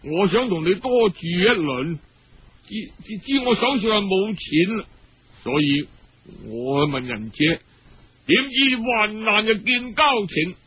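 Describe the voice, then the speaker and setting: A hard-up young lover acted out by a man pleads in aggrieved, sighing Cantonese speech, faltering over words. His voice is highish and husky, on a dull story recording. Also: sing-song, a little slow, heavily acted. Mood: dejected, aggrieved. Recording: dull lo-fi radio-style recording.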